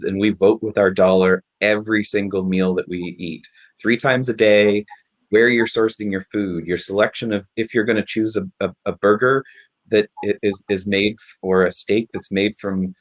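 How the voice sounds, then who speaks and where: Guy on a panel, stumbles and repeats himself, lowish voice. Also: poor audio. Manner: halting, repeats words. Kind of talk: panel discussion.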